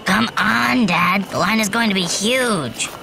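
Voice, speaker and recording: A man's voice: high voice, measured pace, vocal fry, bright, forceful tone, slight background noise, exaggerated voice, poor audio. A man voicing an impatient, nagging young child in an audio drama.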